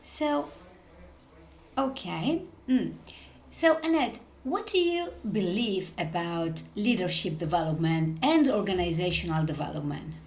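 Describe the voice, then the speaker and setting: A woman's voice: on the low side, animated, a little slow. A woman hosting a podcast interview, welcoming her guest and asking long questions with fillers. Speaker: podcast host.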